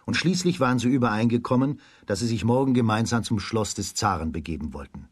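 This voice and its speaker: Low, husky male storyteller. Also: lively intonation, fast talker, no room sound, studio quality. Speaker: narrator. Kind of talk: scripted storytelling narration for children's radio play.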